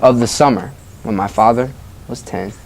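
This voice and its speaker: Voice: mid-range voice, normal speed, creaky, a little mellow, a little hiss or hum. A teacher reading a story aloud to children and leading discussion with questions and short acknowledgements. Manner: prompting children with questions, acknowledging their answers.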